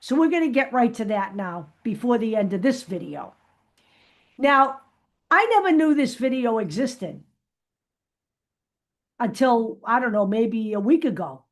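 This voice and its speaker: High-voiced woman giving casual fan commentary. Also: big pitch swings, unhurried, pauses often. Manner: emphatic, often tags points with "okay?". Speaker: host of a fan vlog.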